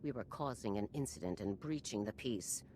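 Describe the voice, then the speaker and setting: A woman's voice: expressive, moderate pace, warm tone, a little hiss or hum. An actress voicing a stern older female general in a video game, with a clipped, authoritative, formal manner. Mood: stern, businesslike. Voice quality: low-pitched.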